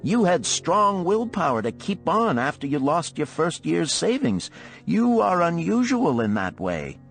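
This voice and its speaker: A man putting on a high, earnest questioning friend. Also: big pitch swings, unhurried, vocal fry, soft background music, heavily acted. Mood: admiring. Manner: questioning, formal, raised-pitch earnestness. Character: earnest, doubtful male friends seeking advice on wealth. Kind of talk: dramatised storybook parable reading.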